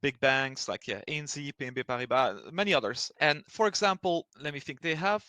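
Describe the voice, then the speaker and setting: A man's voice: mid-range voice, brisk, pushed and bright, no room sound, clean studio sound. A man from Belgium answering interview questions in an explanatory way, hesitant and often restarting his sentences. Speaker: interview guest speaking for a blockchain project.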